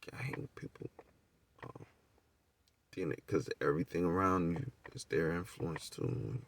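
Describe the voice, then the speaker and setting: A man's voice: low voice, measured pace, gravelly, far from the mic. A middle-aged American man telling personal stories in a casual, profane, candid and rambling way, asking rhetorical questions as he goes. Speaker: storyteller.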